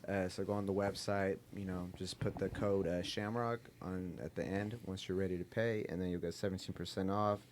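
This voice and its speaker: A man's voice: sits low, steady tone, fairly quick, hardly any pauses, darkish tone, slight background noise. A man co-hosting a podcast, making casual, hesitant promotional chat. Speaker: podcast co-host.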